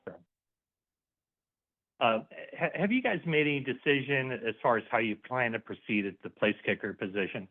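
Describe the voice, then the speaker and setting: A man working as a reporter, asking questions on a conference call in a halting, self-correcting way. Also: mid-range voice, expressive. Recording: narrow, phone-like conference line.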